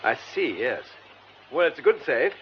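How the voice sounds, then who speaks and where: An actor playing a composed, gentlemanly superintendent with an upper-class English accent, suave and courteous, in a radio drama. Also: male voice, a little high, very animated. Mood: businesslike. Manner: suave, courteous, measured wording. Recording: muffled, faintly noisy radio drama recording.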